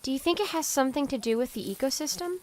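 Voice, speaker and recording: A woman's voice: high-pitched, expressive, fast. A woman playing a naive, curious young woman fresh from an underground vault, earnest and chatty, in an audio drama. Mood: puzzled.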